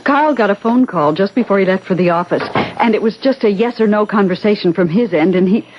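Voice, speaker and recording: A woman's voice: mid-range voice, lively intonation, brisk, natural voice. A woman playing a hurt, suspicious young wife with a sardonic edge in a 1970s radio drama. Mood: anxious. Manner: pointed, questioning. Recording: muffled old radio, clear hiss.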